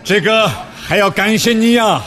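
A man's voice: sits high, moderate pace, fairly bright tone, faint music behind. A man acting a plain-spoken, cautious farmer in a radio drama, earnest and hesitant. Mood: grateful. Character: plain-spoken, grateful male jujube farmer, cautious but canny.

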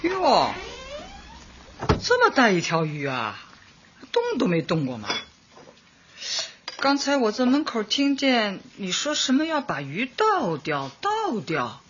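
A woman playing a kindly, plain-spoken community party secretary in a Chinese radio drama, motherly as she advises and gently scolds. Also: mid-range voice, slight background noise, exaggerated voice. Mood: chiding. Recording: muffled radio drama with music underneath.

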